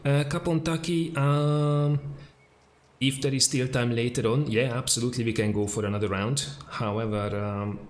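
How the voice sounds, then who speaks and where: A man with a Hungarian accent, a native Hungarian speaker, chatting casually on a game live stream with plenty of fillers while answering viewers. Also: medium pitch. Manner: relaxed, chatty, many fillers, answers chat questions. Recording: echoey room with faint noise.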